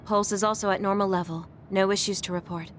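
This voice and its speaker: A woman voicing a gentle, caring young woman in a video game, speaking politely and softly. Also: mid-range voice, steady tone, fast, natural voice. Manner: polite and soft-spoken. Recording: music under the dialogue.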